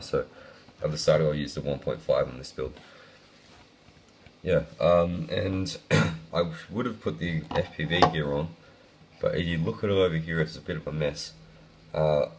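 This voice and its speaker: A young man with a croaky, sick voice, talking casually through a hobby build video with lots of ums. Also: very deep voice, slight background noise. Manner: casual, rambling with many ums.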